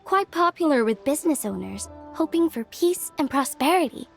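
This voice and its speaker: A scholarly young woman in a video game. Her high voice is courteous and formal, with an eager streak. Soft music plays faintly underneath. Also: animated, normal speed, creaky. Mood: eager, explanatory.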